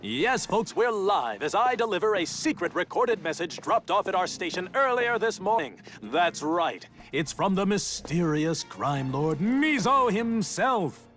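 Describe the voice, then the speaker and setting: An actor playing a brash race broadcaster in a video game, with a showy, punchy announcer delivery. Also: male voice, high-pitched, big pitch swings, a little slow, few pauses, a bit bright, soft background music, full character voice. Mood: excited, goading. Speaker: race commentator and interviewer. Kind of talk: video game cutscene acting, announcer patter.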